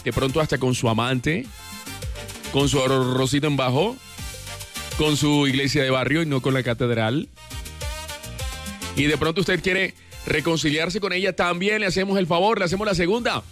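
A man hosting a late-night radio show, talking in an animated, upbeat way and now and then singing short lines. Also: fairly high pitch. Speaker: radio host. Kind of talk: lively radio presenting. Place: radio studio.

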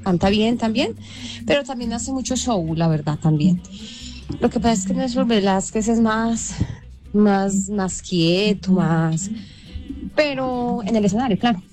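A woman leaving a friendly voice note for a radio show, pausing to search for words. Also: medium pitch, darkish tone, faint music behind. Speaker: caller. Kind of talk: call-in voice note.

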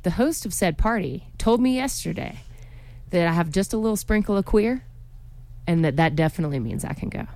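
A woman with a slightly low voice co-hosts a relaxed radio chat from the studio. She talks freely and answers her partner's jokes with wry comebacks. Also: a little hiss or hum. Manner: chatty, wry, quick to tease back. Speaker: radio show co-host. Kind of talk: casual chat on local radio.

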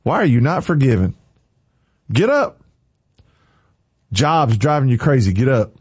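A man's voice: medium pitch, big pitch swings, measured pace, husky, no echo. Male morning radio host, preachy and fervent, dry close studio sound. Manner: fervent, preachy, repeats short commands. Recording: dry, slightly muffled studio.

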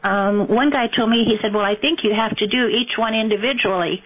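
A woman's voice: fairly high pitch, brisk, husky. An older woman calling into a radio show, hesitant with many ums as she asks her question. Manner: hesitant, lots of ums, sentimental. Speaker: caller. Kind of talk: phone-in question. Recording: dull lo-fi call audio.

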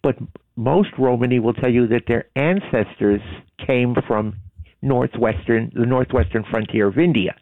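A man with a gravelly voice, heard over a tinny phone line. He explains things as a guest in an informative, steady way. The line sounds dry and thin. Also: medium pitch, normal speed, no echo. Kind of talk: casual conversation.

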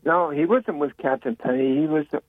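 A man calling in for a casual chat, speaking in a halting, unsure way. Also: fairly high pitch, slightly rough, no room sound. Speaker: caller. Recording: tinny phone line.

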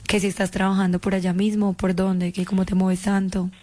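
A woman's voice: medium pitch, level tone, brisk, noisy background. A woman with a Colombian paisa accent, calling on a landline and coyly making a man guess who she is. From Antioquia, Colombia. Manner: coy, insistent, keeps her identity a mystery. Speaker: caller. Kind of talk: teasing phone call. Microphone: landline phone.

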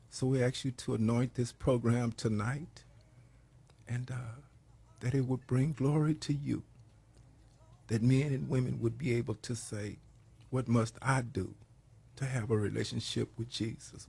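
A hoarse man leading a prayer and preaching on a program, slow and halting, with many pauses. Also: medium pitch. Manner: grows louder and more emphatic while preaching. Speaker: pastor hosting a prayer program. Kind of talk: prayer and sermon talk.

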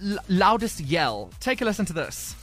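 A man hosting a radio show, chatting casually with a co-host, often laughing and pausing with ums. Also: high voice, sing-song, over music.

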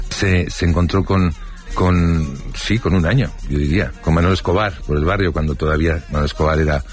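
An older man from Spain with a Spanish accent, telling rambling childhood anecdotes in a casual interview, full of fillers and restarts. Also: very deep voice.

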